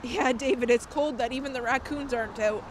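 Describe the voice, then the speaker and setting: A woman's voice: fairly high pitch, fairly even intonation, a little fast, a little hiss or hum. Chatty woman streaming outdoors, slightly nasal. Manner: chatty, laughs often. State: recovering from a cold, slightly nasal. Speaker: IRL streamer. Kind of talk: casual live-stream chat reading viewer comments. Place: outdoors on city streets at night.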